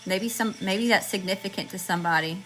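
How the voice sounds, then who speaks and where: A woman with a sharp, bright voice reads tarot for her viewers in a loose, chatty way. She rattles off card meanings one word at a time, her voice going hoarse, over faint music in the background. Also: mid-range voice, a little fast, low-quality recording. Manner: chatty and slangy, addresses viewers as y'all, lists card meanings in word runs. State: losing her voice. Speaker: tarot reader channeling messages. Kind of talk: casual spiritual reading to viewers.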